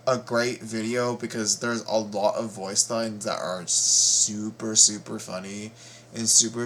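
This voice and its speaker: A man hosting a casual reaction vlog, talking haltingly with repeated words and stammers. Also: lowish voice. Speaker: reaction video host. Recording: steady background noise.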